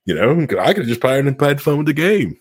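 A man's voice: mid-range voice, big pitch swings, fast, breathy edge, dry recording, clean studio sound. A man chatting casually about gaming as a podcast co-host, opinionated and emphatic, often repeating himself to make a point.

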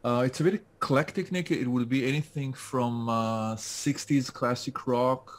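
A man's voice: mid-range voice, steady tone, a little slow. A man, a guest on a call-in interview, speaking with many hesitations and restarts. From France. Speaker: guest, LinkedIn specialist. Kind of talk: casual interview over a call.

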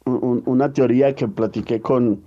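A man's voice: medium pitch, steady tone, moderate pace, mellow, highs cut off. A man, talking earnestly in a casual panel discussion and often repeating words as he searches for them. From Mexico.

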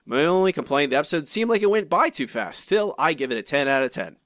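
A man co-hosting a podcast, reading out listener comments in chatty, animated fashion with frequent restarts and asides. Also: a little high, fast, no echo, clean studio sound. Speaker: podcast co-host.

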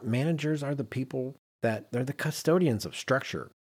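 A man's voice: medium pitch, moderate pace, a little mellow, husky. A man hosting a podcast in a conversational, emphatic, self-deprecating way, moving between chat and read-out notes. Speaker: podcast host.

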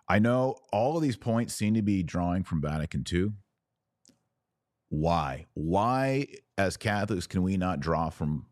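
A man with a low voice delivers opinionated religious commentary as a podcast host. He teaches emphatically, posing rhetorical questions and sometimes reading aloud. The sound is dry, with very little room around the voice. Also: a little mellow, dry recording. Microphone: close mic at a webcam setup.

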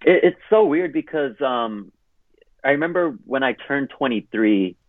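A man's voice: medium pitch, lively intonation, measured pace, no echo. A man turning thirty, from California, talking as a podcast guest in a rambling way full of "like". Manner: rambling, heavy use of "like". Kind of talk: casual interview chat. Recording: tinny phone line.

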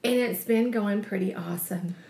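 A woman co-hosting a casual podcast, talking in a loose, chatty way and often laughing at herself. Her voice sits at an ordinary pitch for a woman. The room around her is roomy and echoey. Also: normal speed, darkish tone. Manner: chatty, laughs easily, self-mocking. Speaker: podcast co-host, chef. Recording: roomy echo.

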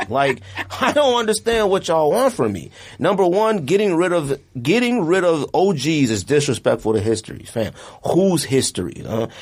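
A man's voice: mid-range voice, breathy edge. A man in his late thirties hosting a casual podcast, talking in an opinionated, slangy, emphatic way. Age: late 30s. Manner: animated, emphatic ranting with slangy address. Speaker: podcast host.

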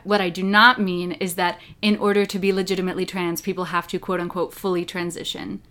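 A woman's voice: on the low side, flat delivery, a little fast, a bit bright. Woman interviewer asking careful questions.

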